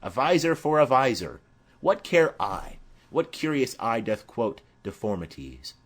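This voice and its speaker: Boisterous, witty young gentleman for a Shakespeare audiobook, played by a man, theatrical, lively intonation, unhurried. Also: mid-range voice, pitched above the performer's own voice. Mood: teasing, bold. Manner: theatrical, declamatory. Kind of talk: dramatic Shakespeare audiobook reading.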